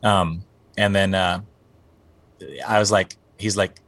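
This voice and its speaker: A man's voice: deep voice, flat delivery, slow pace, a bit bright, dry recording. A man in his forties, a band singer, talking casually in an interview. He rambles and often restarts his sentences, with plenty of fillers. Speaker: guest musician, band singer.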